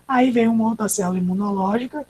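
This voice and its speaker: A woman's voice: fairly high pitch, fast talker, dark tone. Brazilian lady teaching a class, asks herself questions then explains, bit of hiss. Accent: Brazilian Portuguese. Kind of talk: online class lecture.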